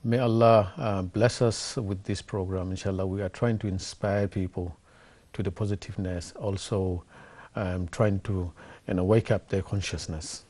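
A man's voice: sits low, fairly even intonation, moderate pace, a little mellow. A man in his thirties hosting a studio interview, asking earnest, eager questions with many fillers. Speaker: host. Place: TV studio set.

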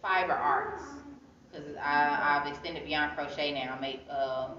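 An American woman with a deep voice talks informally, like a guest in a relaxed interview. She sits well back from the phone mic in a reverberant room, so the sound is slightly dull, with people murmuring behind her. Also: measured pace. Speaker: interview guest, poet and author. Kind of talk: casual chatty interview answers. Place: indoor arts center room, seated. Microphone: phone camera a few metres away. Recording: echoey room, distant muffled phone-camera sound, chatter.

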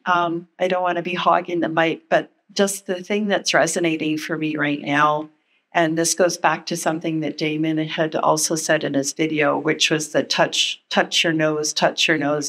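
Gravelly, husky older woman. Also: deep voice, level tone, a bit bright. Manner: earnest, measured, thinking aloud. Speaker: panel speaker, former educator. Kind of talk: reflective panel comment. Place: large meeting room with audience.

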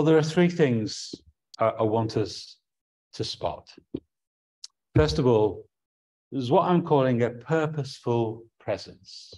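A man's voice: medium pitch, a little mellow. A middle-aged man with an English accent preaching a sermon from a small room at home, earnest and prone to restarting his words.

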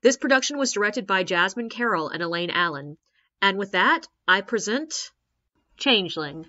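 A woman's voice: medium pitch, expressive, moderate pace, sharp tone, muffled recording. A woman presenting an audio play with a scripted introduction.

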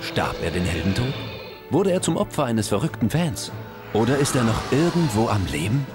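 A man's voice: mid-range voice, very animated, normal speed, close to an everyday voice. A man playing a grandiose, dramatic TV documentary announcer in a parody broadcast from a game cutscene. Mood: dramatic, sensational. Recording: music behind.

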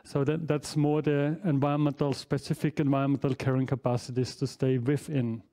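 A man's voice: medium pitch, level tone, brisk, dark tone. A man in his forties, speaking English as a second language, gives a university lecture on slides in a large hall through a headset mic, with frequent fillers and self-corrections. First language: not English. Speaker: professor giving an inaugural lecture. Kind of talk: academic lecture.

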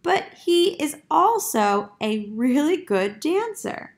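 A woman's voice: sits high, very animated, slow, pitched above the performer's own voice, full character voice. A woman voicing a polite, earnest, helpful monkey in a children's storybook. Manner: courteous, earnest.

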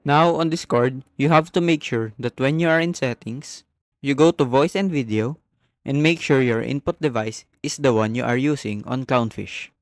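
A man narrating a step-by-step software tutorial as the host. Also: medium pitch, moderate pace, no room sound, clean studio sound.

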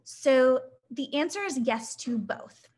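A woman's voice: high voice, expressive, slow, a little mellow, breathy edge. A young woman hosting a casual online Q&A webinar, upbeat and chatty with lots of fillers, heard through a laptop mic on a video call. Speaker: webinar host reading audience questions. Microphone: laptop webcam mic on a video call.

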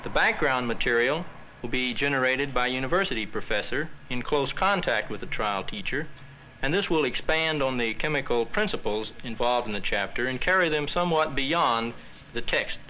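A man's voice: mid-range voice, expressive, moderate pace, sharp tone. A man in his thirties giving a prepared explanatory answer as a meeting panelist. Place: meeting room. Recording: faintly noisy old film soundtrack.

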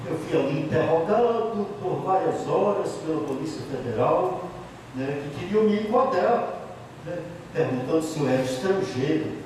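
A middle-aged man with a Bahian accent speaks as a priest, recounting his life with emphasis and frequent repetition. His voice sits somewhat high. It comes from a distance in a room with long, roomy echo. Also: lively intonation, normal speed, darkish tone, slight background noise. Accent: Bahian Portuguese. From Bahia, Brazil. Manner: emphatic, repeats key phrases, frequent tag questions. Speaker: priest giving a talk. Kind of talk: storytelling talk about his life. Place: office meeting room, indoors. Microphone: handheld mic. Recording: echoey room from a far camera.

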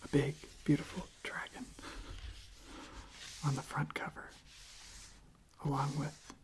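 A young adult ASMR host speaking in a soft, gentle whisper, halting and repeating words, close to the microphone. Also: medium pitch, gravelly, rough recording.

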